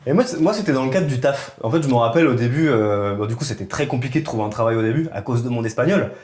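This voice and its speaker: A man co-hosting a podcast, telling a personal story in relaxed, casual conversation full of fillers. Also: medium pitch, very fast, reverberant. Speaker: podcast co-host. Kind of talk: casual chat.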